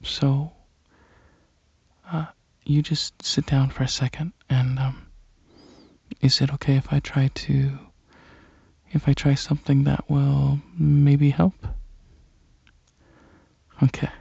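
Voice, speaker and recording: A gentle, shy alien dragon in an ASMR roleplay, speaking very slowly in a breathy, hesitant half-whisper. The voice is soft and mellow with a creaky edge, in a slightly dull recording. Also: mid-range voice, little pitch movement, dry recording. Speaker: ASMR performer.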